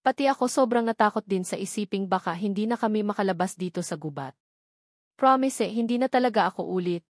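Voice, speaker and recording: A woman's voice: mid-range voice, fast talker, dry recording, clean studio sound. A woman reading a scripted first-person romance novel as its narrator. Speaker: story narrator.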